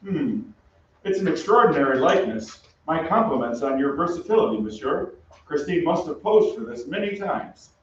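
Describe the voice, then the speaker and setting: A man's voice: on the high side, lively intonation, normal speed, a little hiss or hum, natural voice. A man acting a stiff, drily suspicious police inspector in an old-time radio drama. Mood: probing, suspicious. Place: theatre stage. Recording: very echoey stage, distant muffled mic.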